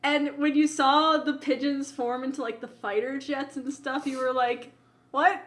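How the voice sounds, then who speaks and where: A woman's voice: high-pitched, fairly even intonation, moderate pace, a bit bright. A woman co-hosting a podcast in casual banter, chatty and emphatic, with lots of "like" in her talk. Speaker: podcast co-host. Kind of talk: casual chat, review banter.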